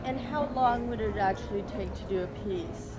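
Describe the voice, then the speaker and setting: A woman TV reporter introducing a feature and interviewing artists in a clear, upbeat presenter manner. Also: mid-range voice, normal speed, a little mellow.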